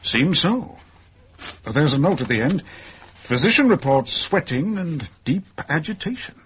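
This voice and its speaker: An actor playing a brisk, wry English police detective in a period radio drama, talking in a blunt, colloquial way. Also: male voice, fairly high pitch, big pitch swings, fairly bright tone. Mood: matter-of-fact. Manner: colloquial, blunt, matter-of-fact. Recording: muffled radio recording, clear background noise.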